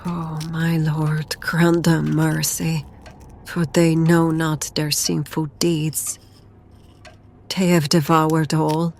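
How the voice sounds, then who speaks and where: A woman's voice: lowish voice, a little hiss or hum. A woman playing a ghostly, deranged mother in a horror audio drama, crooning sweetly and menacingly with eerie whispers. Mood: mournful praying.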